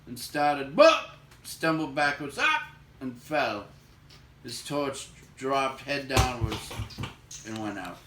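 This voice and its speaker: Male narrator, mid-pitched, expressive read-aloud, dramatic suspenseful storytelling, echoey room, faint background noise. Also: slow, recorded from a distance. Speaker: storybook reader.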